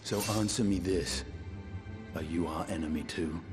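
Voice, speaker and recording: Actor as a deep, blunt male warrior. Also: fairly even intonation, normal speed, close to an everyday voice. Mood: challenging. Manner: blunt, challenging. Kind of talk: video game cutscene acting. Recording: prominent music behind.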